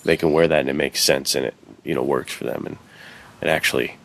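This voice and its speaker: A man's voice: rumbling low, steady tone, quick pace, a bit bright. An American man co-hosting a podcast, talking casually and informally with an emphatic way of putting things. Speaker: podcast co-host. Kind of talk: casual chat.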